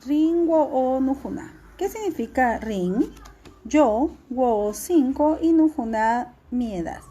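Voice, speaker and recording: A woman teacher, giving a recorded class lesson in a clear, instructive way and repeating key words slowly. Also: sits high, animated, dark tone, highs cut off.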